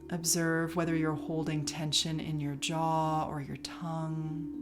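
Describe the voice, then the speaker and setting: A woman leading a guided relaxation as a yoga teacher, calm and soothing, leaving long pauses between phrases. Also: deep voice. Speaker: yoga nidra instructor. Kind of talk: guided meditation, relaxation instruction. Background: music behind the voice.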